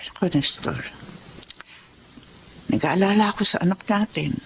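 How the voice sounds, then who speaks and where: An actress playing a caring, worried middle-aged mother who gently admonishes, in a Filipino-accented radio drama performance. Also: mid-range voice, a little slow, a little hiss or hum, lightly acted. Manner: expressive, admonishing.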